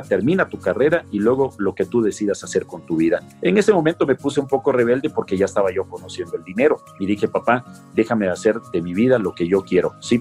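A man's voice: on the low side, darkish tone. A middle-aged Mexican man with a Mexican accent, earnestly recounting his life story in an interview.

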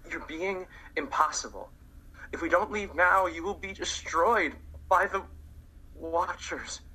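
A man's voice: sits high, a little slow, bright, forceful tone, husky, full character voice, low-quality recording. A man playing a mysterious young man who urgently pushes a girl to hide from danger, in an amateur radio drama. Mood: grave. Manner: urgent, secretive, commanding.

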